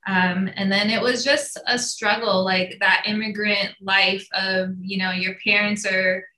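Bright-voiced woman in a roomy room. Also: mid-range voice, flat delivery, a little slow. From Iran. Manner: chatty, many fillers and restarts. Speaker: guest entrepreneur. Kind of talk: casual storytelling. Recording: roomy echo.

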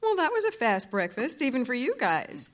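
A woman's voice: high voice, wildly swooping pitch, normal speed. A woman playing a wry, nagging mother who dotes on her pets in a comedic audio play. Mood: doting. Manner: dry nagging, cooing to pets. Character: wry, nagging suburban mom, doting dog owner. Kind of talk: comedic audio theater acting.

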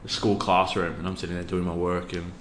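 A man from Western Australia with an Australian accent, talking as an interview guest in a relaxed, laid-back way with plenty of fillers. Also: low voice, fairly quick, slight background noise. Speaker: podcast guest, youth worker. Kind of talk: casual interview talk. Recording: echoey room.

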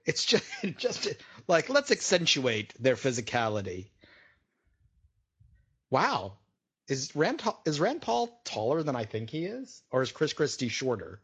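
A man's voice: medium pitch, wildly swooping pitch, a little slow, fairly bright tone, breathy edge. A man co-hosting a podcast, swearing freely and riffing through live commentary. His talk is loose and jokey, breaking into laughter often. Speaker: podcast co-host. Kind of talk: crude live commentary on a debate.

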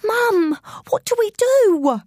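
A woman's voice: extremely high pitch, big pitch swings, slow pace, darkish tone, dry recording, clean studio sound, voice pushed up, full character voice. A woman voicing a frightened youngster in an audiobook, urgent and pleading. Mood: panicked. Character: panicking young child calling to mum.

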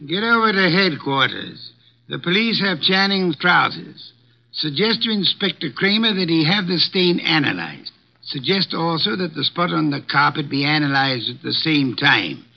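A pompous, imperious detective holds court in an old radio play. His voice is gravelly and sits a little high. The recording is dull and muffled, like a vintage broadcast. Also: lively intonation, moderate pace. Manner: pompous, fussy and imperious, dismissive. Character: fussy pompous portly male detective who never leaves home. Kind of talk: 1950s radio drama acting.

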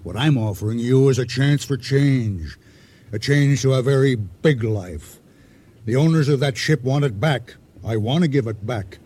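A man acting a boastful, scheming sea-adventurer and hijacker in a radio drama, with a deliberate, insinuating delivery full of dramatic pauses. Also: mid-range voice, animated, a little mellow, close to an everyday voice. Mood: persuasive, scheming. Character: boastful, scheming male sea-adventurer turned ship hijacker, silkily persuasive.

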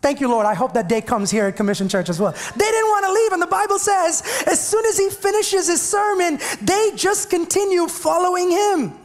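A man's voice: extremely high pitch, moderate pace, hardly any pauses, long reverb tail. A man from India with an Indian English accent, a pastor giving a chatty, joking sermon to his congregation. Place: church sanctuary.

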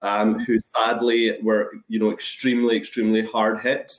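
A man with a Scottish accent explaining things thoughtfully on a webinar, with measured, hesitant phrasing. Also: fairly low pitch, flat delivery. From Scotland. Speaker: guest, anaesthesiology and intensive care resident. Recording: echoey webinar call.

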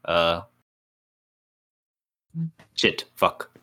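A man's voice: very slow, bright, forceful tone. A man playing a tabletop roleplaying game, chatting casually and describing what a character does.

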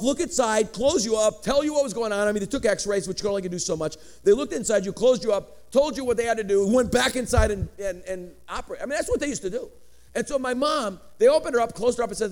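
A middle-aged male preacher from Chicago, raised speaking Greek, delivers a sermon full of rising and falling inflections and quick joking asides. His voice sits high for a man. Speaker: pastor.

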